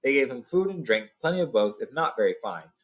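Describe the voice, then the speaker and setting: A man's voice: mid-range voice, sing-song, brisk. A man hosting a read-along, narrating a fantasy storybook with informal recaps.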